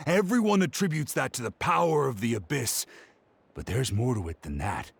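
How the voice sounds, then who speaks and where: An excitable young male scholar from a video game. His mid-pitched voice swings up and down with a crackly edge as he earnestly presses his point. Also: moderate pace, vocal fry, breathy edge, no room sound. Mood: insistent. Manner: earnest, insistent, explaining.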